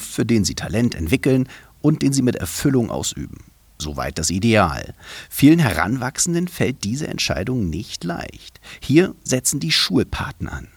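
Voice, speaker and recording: A man hosting a podcast, reading an intro and asking questions in an emphatic, lively way. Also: mid-range voice, slightly rough, no room sound. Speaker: podcast host. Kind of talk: podcast interview and scripted intro.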